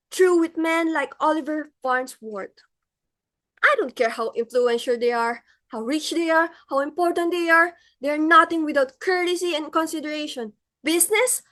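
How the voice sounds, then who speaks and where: A woman's voice: high-pitched, fairly bright tone. A woman playing a flustered, imperious society mother in a stage comedy, with emphatic, theatrical exclamations. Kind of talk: comedic stage-play acting.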